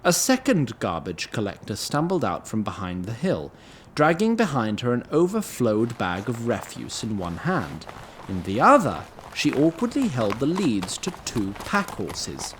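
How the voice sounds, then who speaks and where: A man narrating an audio drama, reading scripted story in an animated, slightly wry manner. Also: mid-range voice, a little slow, a little hiss or hum.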